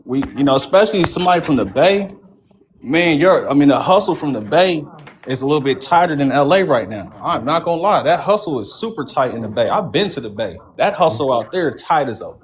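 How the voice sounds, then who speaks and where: A man's voice: fairly high pitch, lively intonation, a little fast. An American man from California talking casually and conversationally in street slang, often punctuating his sentences with an address word.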